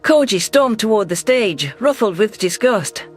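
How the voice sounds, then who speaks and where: A man narrating a science fiction story in an even, scripted storytelling delivery. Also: sits high, measured pace, husky, soft background music.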